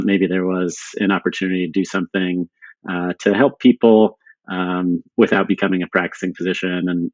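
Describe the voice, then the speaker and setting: Podcast guest, man, 40s: very low, monotone, halting delivery, dry dull audio. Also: dry recording. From born in Sweden, raised in San Diego. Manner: hesitant, many fillers and restarts. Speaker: podcast guest, company co-founder. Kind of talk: casual interview talk.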